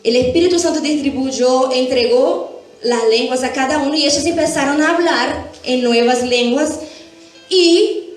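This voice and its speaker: A woman's voice: sits high, unhurried. A woman giving church Bible teaching, explaining step by step and checking in with short tag questions. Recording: very echoey room, faint music behind.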